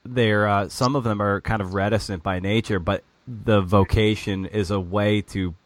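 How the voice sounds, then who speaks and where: Interviewer, man, lowish voice, dry close sound, halting restarts. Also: steady tone, dry recording. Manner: searching questions, filled with likes and restarts. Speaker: podcast host interviewing. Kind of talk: interview conversation.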